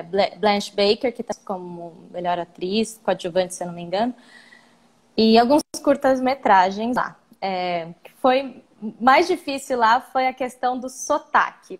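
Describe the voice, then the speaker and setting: A young Brazilian woman with a Brazilian Portuguese accent, chatting on a video call as an interview guest, meandering and restarting her sentences. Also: mid-range voice. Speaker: guest, actress and singer. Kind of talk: casual live-stream interview.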